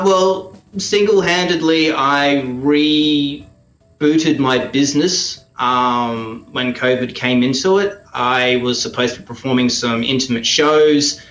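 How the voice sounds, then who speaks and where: A man with an Australian accent giving conversational interview answers in a halting way, as if thinking aloud. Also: mid-range voice, a bit bright, reverberant, muffled recording. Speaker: radio play producer and performer, interview guest.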